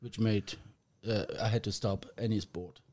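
German-accented man, halting and self-deprecating. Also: lowish voice, fairly even intonation, a little mellow. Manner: halting, self-deprecating, with small grammar slips. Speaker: guest. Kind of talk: casual podcast chat.

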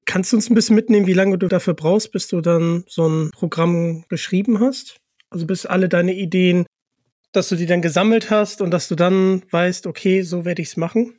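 A man's voice: high-pitched, fairly even intonation, moderate pace, darkish tone, no echo, clean studio sound. A man hosting a casual interview, speaking in an appreciative, complimentary way to his guest. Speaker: interviewer, host.

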